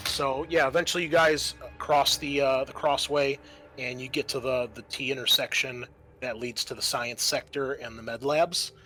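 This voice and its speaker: A man narrating a tabletop roleplaying game as game master, improvising and thinking aloud with hesitant pauses. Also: medium pitch, bright, forceful tone, poor audio.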